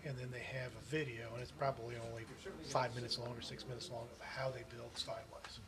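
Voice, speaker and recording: A man with a medium-pitched voice chats in a casual, rambling way. He is recorded live in a busy meeting room, with clear crowd chatter behind him. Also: little pitch movement, fast. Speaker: club member.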